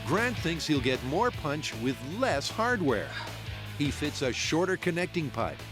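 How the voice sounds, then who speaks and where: Lively guy narrating a science show, wry punny voice-over, music under it. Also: on the high side, measured pace. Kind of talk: scripted documentary narration.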